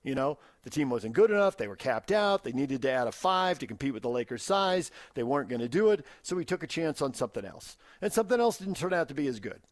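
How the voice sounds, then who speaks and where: Male sports talk co-host, American, medium pitch, chatty and discursive, tight dry sound. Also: very animated, fast talker, few pauses, dry recording. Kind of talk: casual sports talk commentary.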